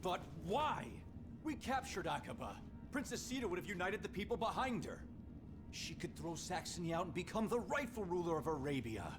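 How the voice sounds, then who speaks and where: An actor playing an idealistic, principled American army captain in a video game cutscene, earnest and solemn. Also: male voice, sits high, very animated, moderate pace, over music. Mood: pleading, indignant.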